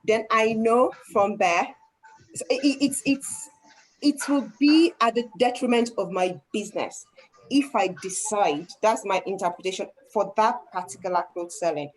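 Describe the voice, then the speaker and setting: A woman's voice: mid-range voice, normal speed, slight background noise, poor audio. Thoughtful Nigerian woman talking business. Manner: thoughtful, restarts often, laughs easily. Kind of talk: casual group discussion.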